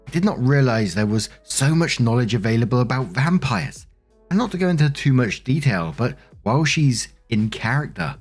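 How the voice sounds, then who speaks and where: A man reading online stories aloud in a chatty, conversational way, with casual commentary as the host. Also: mid-range voice.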